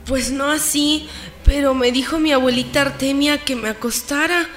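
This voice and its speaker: A woman's voice: sits high, normal speed, fairly bright tone. An actress playing a naive, ailing pregnant countrywoman in a radio drama. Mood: uneasy, puzzled. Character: Francisca, naive ailing pregnant rural woman. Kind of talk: Spanish-language radio drama acting. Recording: echoey room, faint music behind.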